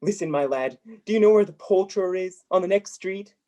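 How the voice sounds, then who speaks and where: Give the voice of a reformed old miser played by a young performer in an old radio play. The old gentleman is giddy and generous, exclaiming in hearty, courtly phrases at a middling pitch. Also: a little fast, lightly acted. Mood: delighted. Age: young adult. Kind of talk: 1930s radio play acting.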